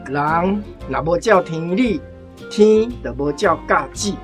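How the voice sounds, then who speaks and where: A woman's voice: on the low side, animated, slow, faint music behind. A woman playing an old country grandfather in a radio drama, opinionated and bluntly instructive, with a Taiwanese accent. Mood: disapproving.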